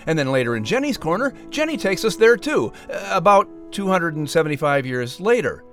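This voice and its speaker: A man narrating a children's story as announcer, with expressive, scripted storytelling delivery. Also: high voice, a little fast, faint music behind.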